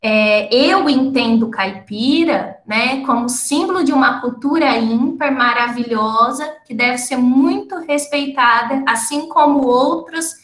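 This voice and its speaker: A woman's voice: sits high, a little slow, few pauses, fairly bright tone, reverberant. A woman giving an academic lecture to teachers, explaining step by step and often checking in with tag questions. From not from the city of the event. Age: adult with completed schooling. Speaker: lecturer and researcher.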